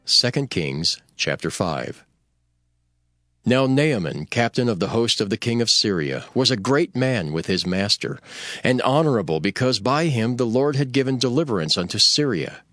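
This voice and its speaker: A man's voice: medium pitch, lively intonation, moderate pace, a bit bright, no room sound. A man narrating scripture in a dramatized, scripted storytelling style.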